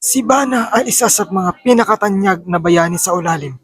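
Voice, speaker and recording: A man acts as a Filipino keeper of ancestral tales, proud and instructive. His voice is notably high for a man, delivered solemnly in a radio play style. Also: brisk, a bit bright, slightly rough, dry recording, studio quality. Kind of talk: amateur radio drama acting.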